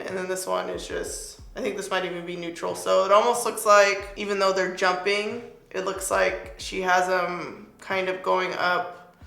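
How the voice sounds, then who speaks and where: A young woman with a raspy voice, chatting excitedly as she reviews a makeup product. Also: medium pitch, roomy sound. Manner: chatty, excited, filler-heavy. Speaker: beauty vlogger.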